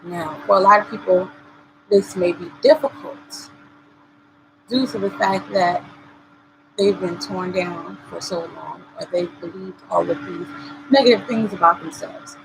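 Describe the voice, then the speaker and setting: A woman with a cold-hoarsened voice hosting a motivational talk, speaking earnestly and encouragingly and repeating her key points. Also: lowish voice, a little slow, background noise. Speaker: host. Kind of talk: motivational talk show monologue. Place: at home.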